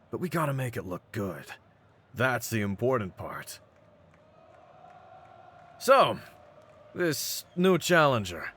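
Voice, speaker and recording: A man voicing a cocky, brawny pit-fighting champion in a roleplay audio, with a deep voice and streetwise, mocking swagger. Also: a bit bright, full character voice. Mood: hushed confidence. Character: cocky, brawny half-breed pit-fighting champion, hyping his partner. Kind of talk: scripted in-character roleplay audio.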